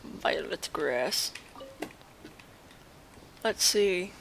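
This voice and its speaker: A woman's voice: lowish voice, expressive, drawn-out words, fairly bright tone, a little hiss or hum. A woman streaming a video game with casual, playful commentary, chattering to herself and exclaiming as she plays.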